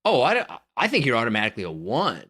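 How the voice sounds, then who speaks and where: A man's voice: mid-range voice, huge pitch swings, fast, a bit bright, husky. A man co-hosting a podcast, chatting casually and giving blunt, emphatic opinions. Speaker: podcast co-host. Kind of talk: casual chat.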